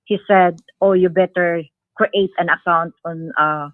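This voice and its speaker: A woman calling in to a live show, speaking haltingly and politely in non-native English, on a phone line. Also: lowish voice, steady tone, no room sound. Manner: polite, deferential, thankful. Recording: tinny, narrow phone-line sound.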